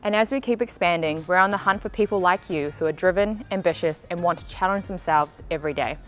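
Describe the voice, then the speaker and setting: Company presenter: Australian female, voice a touch low, scripted recruitment read over background music. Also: fast, a bit bright. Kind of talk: scripted recruitment video narration.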